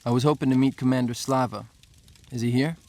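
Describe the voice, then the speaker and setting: An actor playing an earnest, respectful army lieutenant in a game, speaking with deference. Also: male voice, medium pitch, steady tone, fast talker, creaky, a little mellow, close to an everyday voice. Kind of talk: scripted military game dialogue.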